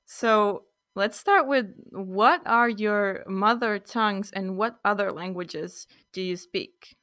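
A woman's voice: medium pitch, takes it slowly, sharp tone, no room sound, studio quality. A young woman hosting a casual student podcast, chatting loosely with some fillers. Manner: friendly, loosely improvised with fillers. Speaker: podcast host.

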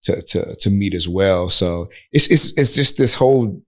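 A man co-hosting a podcast in casual conversation, easygoing and measured as he works through his thoughts. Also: sits low, animated, fairly bright tone. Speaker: podcast co-host. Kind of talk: casual couples chat.

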